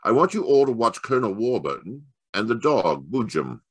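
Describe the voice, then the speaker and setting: Guy acting old radio mystery detective, lowish voice, really animated, stammery and formal, dry sound. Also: breathy edge, dry recording. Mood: deliberate, authoritative. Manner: halting, stammering, then deliberate and formal. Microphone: home microphone on a video call.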